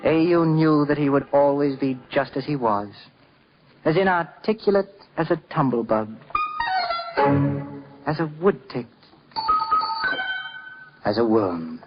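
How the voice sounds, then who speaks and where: A man playing a tender, theatrical storyteller, narrating a scripted 1950s radio drama in a deliberate, expressive way. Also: mid-range voice, natural voice. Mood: sombre, gentle. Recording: dull 1950s radio recording. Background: music.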